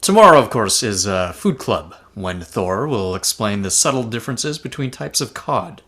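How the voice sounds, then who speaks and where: A man playing an earnest, cheerful ghost who politely and haltingly announces club activities in a table read. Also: on the low side, very animated, sharp tone, husky, full character voice. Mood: earnest announcing. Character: earnest, cheerful scout-leader type ghost organizing activities.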